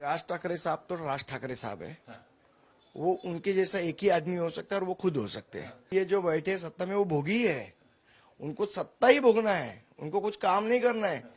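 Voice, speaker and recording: A man's voice: sits high, animated, moderate pace. A man speaking off the cuff in a political soundbite. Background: faint noise with clicks.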